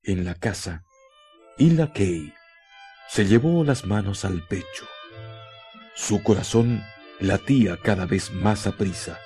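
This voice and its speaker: A man narrating a scripted radio drama in a solemn, deliberate way, with dramatic pauses. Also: fairly low pitch, lively intonation, warm tone, faint music behind.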